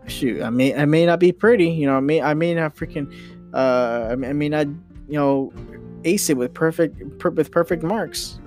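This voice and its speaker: A man's voice: fairly high pitch, normal speed, a little mellow, faint music behind. A young man hosting a solo podcast, ranting casually with many restarts and mild swearing. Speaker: podcast host.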